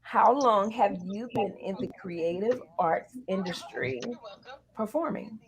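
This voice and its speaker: A woman hosting a casual, church-flavored interview in a playful, expressive, affirming way. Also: medium pitch, slow, a crowd in the background, poor audio. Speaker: talk show host.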